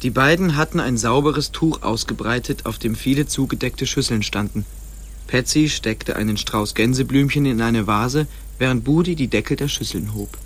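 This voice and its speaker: A man's voice: medium pitch, moderate pace, breathy edge. A man narrating a story as scripted narration for a German radio play.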